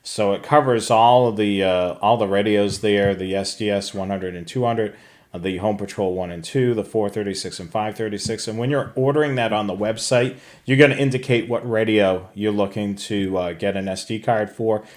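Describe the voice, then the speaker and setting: A man's voice: on the low side, steady tone, moderate pace, darkish tone. Casual man co-hosting a live show. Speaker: co-host of a live radio scanner show. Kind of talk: casual live product talk.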